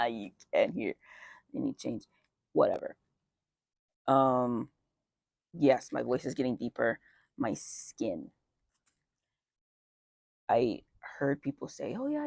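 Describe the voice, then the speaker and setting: A young man chatting casually outdoors in a light breeze as a vlogger, with lots of fillers and wry asides. His voice is just starting to deepen early on testosterone. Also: vocal fry, darkish tone, no echo. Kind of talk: casual life update chat.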